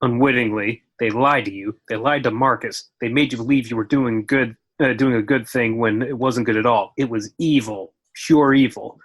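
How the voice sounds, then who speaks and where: A man's voice: medium pitch, steady tone, normal speed, few pauses, a bit bright, close to an everyday voice. A man reading the part of an earnest, righteous action hero at a script table read.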